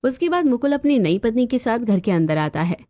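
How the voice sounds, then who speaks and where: A woman's voice: a little high, very animated, fairly quick. A woman reading scripted narration for a cartoon family story. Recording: dull lo-fi audio over music.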